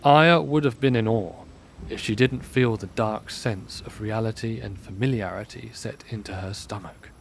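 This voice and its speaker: A man's voice: on the low side, normal speed, gravelly, slight background noise. A man reading scripted story narration as the narrator of an audio drama.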